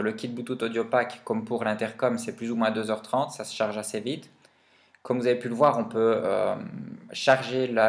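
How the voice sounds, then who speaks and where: A man talking informally and with plenty of slang as he explains gear in a casual vlog review. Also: mid-range voice. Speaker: vlogger reviewing motorbike and quad gear.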